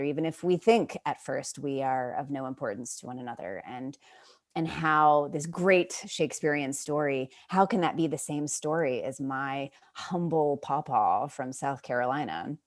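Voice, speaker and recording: Woman, American accent, low pitch, earnest, reflective interview talk, emphatic listing. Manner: thoughtful, earnest, building lists with emphasis. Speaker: playwright, interview guest. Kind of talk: reflective interview talk about her work.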